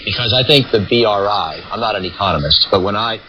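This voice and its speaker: American man, very low voice, measured expert analysis, diplomatic phrasing, muffled lo-fi radio sound. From United States. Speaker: foreign policy expert being interviewed. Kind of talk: analytical interview answers. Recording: dull lo-fi radio relay with static noise.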